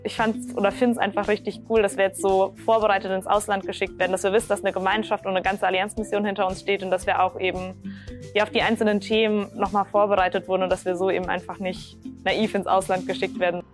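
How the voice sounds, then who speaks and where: Fast-talking young woman over music. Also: medium pitch, level tone. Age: young adult. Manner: enthusiastic, run-on sentences. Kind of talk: short video statement.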